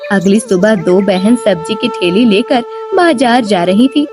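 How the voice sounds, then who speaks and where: A woman's voice: medium pitch, a little slow. A woman reading scripted narration for a moral story as its storyteller. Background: background music.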